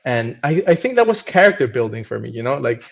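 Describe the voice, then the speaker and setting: A man's voice: mid-range voice, sing-song, fast talker, gravelly, lo-fi sound. A man in his thirties from Venezuela, a podcast guest chatting casually and hesitantly, with many restarts. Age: 30s.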